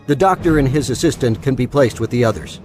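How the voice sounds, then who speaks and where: An actor playing a bitter, wealthy old miser in an audio drama, speaking with menacing politeness. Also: male voice, mid-range voice, lively intonation, fast talker, a little mellow, soft background music, natural voice. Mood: coolly menacing. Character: cold, bitter rich old miser holding captives.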